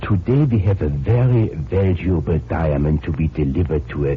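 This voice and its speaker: Deep-voiced, troubled European immigrant gentleman. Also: normal speed. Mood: anxious, bewildered. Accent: continental European. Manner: hesitant, repetitive, foreign phrasing. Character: troubled, courteous immigrant diamond cutter, a man. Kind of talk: 1940s radio acting. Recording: dull, noisy 1940s radio recording.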